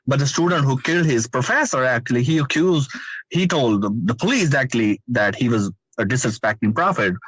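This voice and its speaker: A man's voice: mid-range voice, a bit bright, no room sound, rough recording. A man whose first language is Urdu, calling in to a live stream and speaking earnest, hesitant English full of fillers. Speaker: caller, guest. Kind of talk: live stream call conversation.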